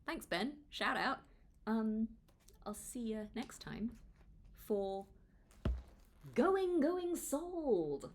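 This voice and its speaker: A woman's voice: on the high side, big pitch swings, very slow, long pauses, a little hiss or hum. A young woman reading her own book aloud as its author, in an informal, conversational storytelling manner with casual asides. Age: young adult. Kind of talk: audiobook-style read-along with casual asides.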